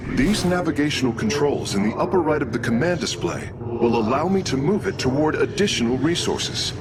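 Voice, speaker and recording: An actor playing an earnest alien engineer who gives calm, measured reports. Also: male voice, fairly high pitch, heavy background noise.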